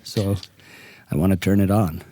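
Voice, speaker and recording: A raspy-voiced man from Utah hosting a casual comedy podcast with friends, deadpan and playfully clueless. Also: low voice, moderate pace, no room sound. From American Fork, Utah. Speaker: podcast host.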